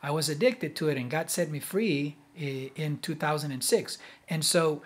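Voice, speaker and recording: Higher-pitched man, halting and explanatory. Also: husky. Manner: halting, repetitive, with frequent check-in "okay?". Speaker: host, accountability group leader. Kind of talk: casual explanatory talk to camera.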